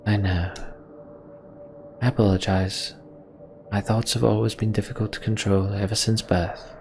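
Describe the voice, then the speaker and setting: Remorseful male game sorcerer, man reading, halting and confessional, low gravelly voice. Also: darkish tone, close to an everyday voice. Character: troubled male sorcerer, remorseful and burdened by power. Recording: background noise.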